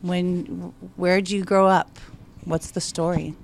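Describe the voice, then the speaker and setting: A woman hosts an interview and asks friendly, encouraging questions in a slightly low voice. Faint background noise runs under the recording. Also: little pitch movement, slow pace, creaky. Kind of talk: casual interview.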